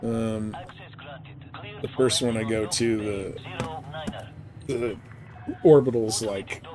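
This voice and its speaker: A man's voice: on the low side, fairly even intonation, slow, poor audio. A man chatting casually and informally as he streams a game. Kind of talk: casual chat while playing a space game live stream.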